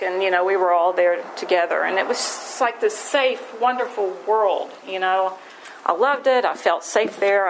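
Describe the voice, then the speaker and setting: A woman with a West Virginian accent tells her recovery story in a folksy, rambling way, often restarting her sentences. Her voice sits at a middle pitch. Steady background noise runs under the live recording. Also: rough recording. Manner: rambling, folksy, self-deprecating, frequent restarts. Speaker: speaker at a recovery meeting. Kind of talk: personal recovery share.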